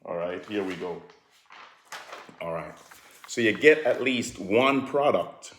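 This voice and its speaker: A middle-aged man with a Jamaican accent, pitching home improvement deals in a relaxed, chatty way, peppered with "all right". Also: takes it slowly, reverberant, slight background noise. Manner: relaxed, repetitive "all right" fillers, sips a drink while talking. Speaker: home improvement company promoter. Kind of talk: casual promotional talk to camera.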